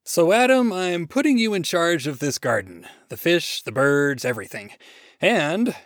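A boastful, theatrical God from a comic storybook, acted out by a man, grandiose and showy. The delivery is animated and swoops in pitch, recorded dry and close. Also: unhurried, dry recording. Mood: brisk, commanding. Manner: grandiose, showman-like. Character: mighty bearded God, boastful, theatrical, easily wounded. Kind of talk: short story read aloud.

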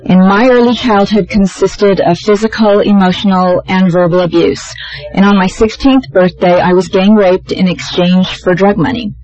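A soft-voiced woman giving a prepared personal testimony as a panel speaker, steady and measured, listing things one by one. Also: mid-range voice, dull audio. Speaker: speaker on a victim empathy panel.

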